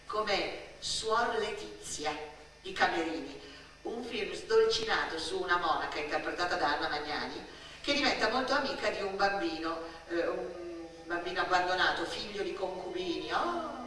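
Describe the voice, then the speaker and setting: A woman performing a theatrical narrative monologue, animated and emphatic, building through repetition and lists. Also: fairly low pitch, moderate pace. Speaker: stage actress and storyteller. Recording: very echoey theatre-like space, distant mic.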